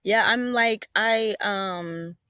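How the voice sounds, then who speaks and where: A woman's voice: mid-range voice, drawn-out words, bright, forceful tone, no echo. Young woman, Southern accent, casual rambling talk, dry close sound. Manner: rambling, lots of "like" and "you know". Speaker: guest. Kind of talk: casual conversation.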